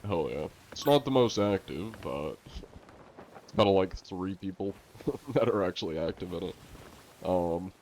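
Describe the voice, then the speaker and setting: A man chatting casually to viewers on a live game stream, congested and coughing now and then, chatty and quick to laugh. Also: low-pitched, expressive, a little mellow, a little hiss or hum.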